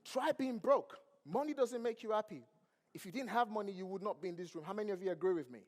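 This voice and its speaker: Nigerian-accented male motivational speaker, high voice, crowd questions, ringing hall reverb. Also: fast talker. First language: not English. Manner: preachy, asks the crowd questions. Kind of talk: motivational stage speech. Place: large event hall.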